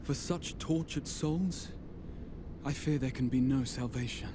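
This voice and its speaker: A man's voice: medium pitch, a little slow, background noise, close to an everyday voice. A man voicing a grave, regretful adventurer in a fantasy game, with formal, somber phrasing. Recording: game music behind voice.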